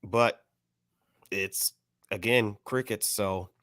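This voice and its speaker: An American man co-hosting a podcast, discussing things casually in a measured, careful, hedging way. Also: lowish voice, fairly even intonation, a bit bright, no echo, studio quality. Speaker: podcast co-host.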